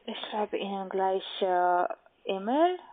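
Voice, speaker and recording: Halting non-native woman on muffled phone line. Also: medium pitch, animated. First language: not German. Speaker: call centre agent. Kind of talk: phone sales call, step-by-step instructions. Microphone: telephone.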